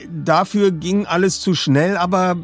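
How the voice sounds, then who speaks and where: Man playing a frantic, high-pitched teenage boy. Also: steady tone, a little slow, faint music behind. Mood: agitated, insistent. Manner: agitated, exclaiming, urgent. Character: frantic, furious teenage boy, upset about his wrecked car. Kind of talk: audiobook character voice.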